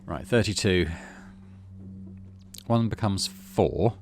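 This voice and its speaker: A man's voice: low-pitched, lively intonation, slow, a little mellow. A middle-aged man giving casual game commentary, muttering drily to himself and reading bits of narration aloud. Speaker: gaming channel host.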